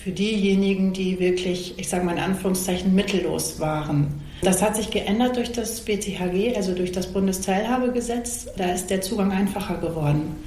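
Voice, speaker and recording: A woman's voice: medium pitch, fairly quick, mellow. A woman in her forties giving conversational answers as an interview guest. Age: 40s. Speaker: interview guest, social worker. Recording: echoey room, faint background noise.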